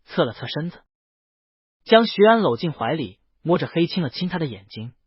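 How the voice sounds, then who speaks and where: Animated higher-pitched male story narrator, husky. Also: moderate pace, no echo, dull audio. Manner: reads character dialogue within the narration. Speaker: audiobook narrator. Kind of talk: scripted romance novel narration.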